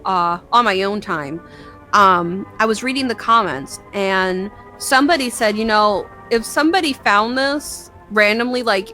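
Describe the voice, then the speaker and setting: A woman's voice: mid-range voice, pushed and bright. A woman co-hosting in a casual reaction chat, informal and inquisitive, with loose profane language. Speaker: co-host reacting to videos.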